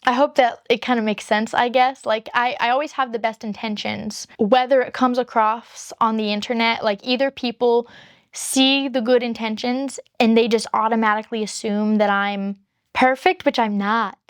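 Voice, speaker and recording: A woman YouTuber with a high-pitched voice, chatting candidly to her viewers and rambling through long explanations. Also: fairly even intonation, normal speed, smooth, dry recording, studio quality. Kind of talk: casual chat to camera.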